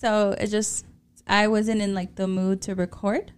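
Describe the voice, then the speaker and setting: A woman's voice: medium pitch, level tone, unhurried, clean tone. A woman about to turn thirty with a Mexican American accent, co-hosting a podcast in casual chat. She swears freely, laughs often and slips into Spanish. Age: late 20s. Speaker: podcast co-host.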